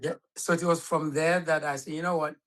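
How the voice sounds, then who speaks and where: A man's voice: fairly high pitch, little pitch movement, brisk, over music. A middle-aged man from Ghana chatting casually on a video call, telling his own story as a business owner.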